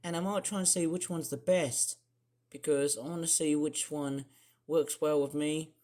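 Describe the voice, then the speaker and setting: Young man, croaky voice, rambling vlogger. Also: fairly high pitch, fairly even intonation, moderate pace, a little mellow. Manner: rambling, many fillers and restarts. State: croaky, clearing throat. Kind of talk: casual screen-share vlog.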